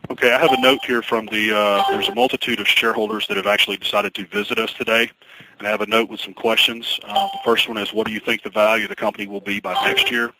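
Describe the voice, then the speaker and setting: Low-pitched man hosting a noisy conference call. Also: level tone, fast. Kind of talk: question-and-answer on an investor conference call.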